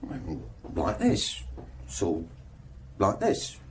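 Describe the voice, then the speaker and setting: A nervous shifty suspect in a radio play, low voice, stammery and hesitant, jumps up and down in pitch. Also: male voice, huge pitch swings, a little hiss or hum, full character voice. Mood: flustered, pleading. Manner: halting, hesitant, stumbling over words. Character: nervous, evasive male suspect under police questioning. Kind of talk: naturalistic radio drama acting.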